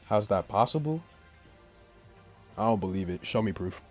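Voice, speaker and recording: A man with a very low voice reads a visual novel aloud as a gaming let's play. His commentary is slangy and joking, and game music plays behind him. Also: animated, moderate pace. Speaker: gaming YouTuber.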